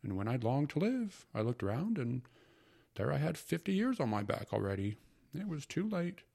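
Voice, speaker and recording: A man voicing a fifty-year-old bachelor uncle who mutters slowly and wistfully. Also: mid-range voice, big pitch swings, vocal fry, darkish tone, husky, voice pushed up, exaggerated voice.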